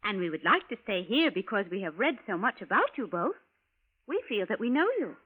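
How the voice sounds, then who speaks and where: An actress playing a polite, gushing young wife from the future, prim and formal in 1940s radio style. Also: female voice, medium pitch, very animated, a little fast. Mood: gushing, flustered. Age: 20s. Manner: prim, formal, precise, few contractions. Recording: narrow, muffled vintage radio recording.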